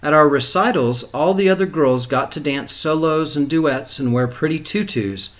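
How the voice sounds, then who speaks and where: A man reading a picture book aloud to young children like a teacher, in an expressive style. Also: a little high, moderate pace. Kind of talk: expressive storybook read-aloud.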